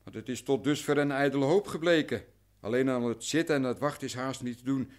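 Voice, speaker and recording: An actor playing a cautious, worn-down merchant in a radio drama, explaining things formally and carefully. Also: male voice, mid-range voice, a little fast, natural voice. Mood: weary, explanatory. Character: cautious, anxious, worn-down male grain merchant.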